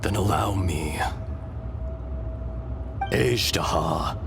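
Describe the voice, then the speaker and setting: Slow, deep, solemn dragon spirit. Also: male voice, background noise, exaggerated voice. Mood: mournful, measured. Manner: grave, measured, portentous. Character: solemn, sorrowful ancient dragon spirit inhabiting a human body. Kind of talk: dramatic video game storytelling.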